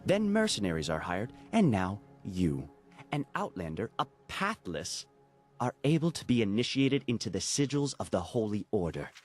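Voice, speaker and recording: A man's voice: lowish voice, sing-song, normal speed, husky, soft background music. A man acting a haughty, bitter young novice of a holy order, scornful and pompous, in a fantasy video game.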